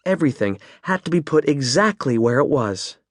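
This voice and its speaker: A man's voice: on the high side, big pitch swings, normal speed, no echo, studio quality. A man voicing a wry, reflective young woman as she types her private log in an audiobook. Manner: wry, musing first-person reflection.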